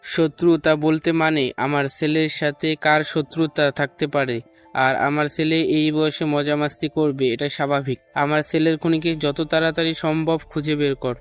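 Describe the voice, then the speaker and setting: Guy doing angry vengeful politician dad in an audio drama, clear voice, pretty even pitch, slightly high. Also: moderate pace, faint music behind, natural voice. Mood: angry, demanding. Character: angry, vengeful politician father demanding justice. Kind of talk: dramatized audio story acting.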